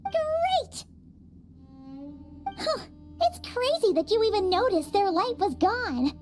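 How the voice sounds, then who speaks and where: A woman voicing a small, cheerful, childlike fairy companion in a video game, with a squeaky, excitable delivery. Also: takes it slowly, full character voice. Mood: curious, chatty. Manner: talks about herself in third person, excitable. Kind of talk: video game cutscene voice acting. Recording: background music behind the voice.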